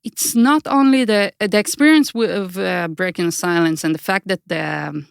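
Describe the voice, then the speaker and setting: A woman's voice: lowish voice, moderate pace, creaky, clear, resonant tone, no room sound, studio quality. A middle-aged woman with an Israeli accent, a native Hebrew speaker, answering interview questions thoughtfully and searching for her words. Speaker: guest, author.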